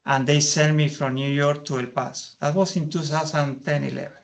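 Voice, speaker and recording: Gravelly Argentine-accented man presenting. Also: fairly high pitch, flat delivery, a little fast. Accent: Argentine Spanish. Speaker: community loan fund CEO presenting. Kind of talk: meeting presentation.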